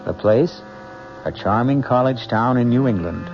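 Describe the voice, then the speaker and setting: A man's voice: medium pitch, normal speed. A man introducing a radio drama in scripted narration. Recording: muffled old radio recording. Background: music.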